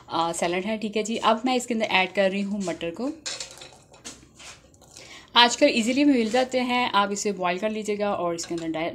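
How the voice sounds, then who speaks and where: Bright-voiced woman, chatty cook. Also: medium pitch. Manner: chatty, addresses viewers directly, mixes in English phrases. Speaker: home cook hosting a recipe channel. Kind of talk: casual recipe demonstration. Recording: noticeable background noise, kitchen clatter.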